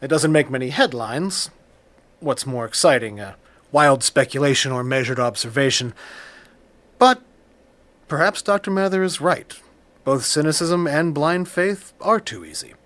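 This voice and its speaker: An actor playing a skeptical paranormal podcast host, measured and probing, with a dry, weary streak. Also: male voice, fairly high pitch, expressive, a bit bright. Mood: measured, inquisitive. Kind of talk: audio drama, scripted interview hosting.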